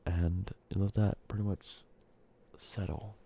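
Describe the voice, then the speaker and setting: A man with a cold softly speaking and whispering in a rambling ASMR video, sounding congested and short of breath. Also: deep voice, little pitch movement, slow pace, creaky, a little hiss or hum. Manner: soft speaking drifting into whispers, rambling with fillers. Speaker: ASMR creator. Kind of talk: soft-spoken ASMR candy-making ramble.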